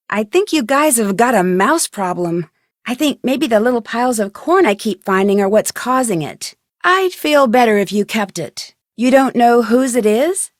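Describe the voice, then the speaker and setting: A woman's voice: fairly high pitch, dry recording, studio quality, lightly acted. A woman voicing a young female amateur detective in an adventure video game, polite, earnest and curious. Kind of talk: scripted adventure game dialogue.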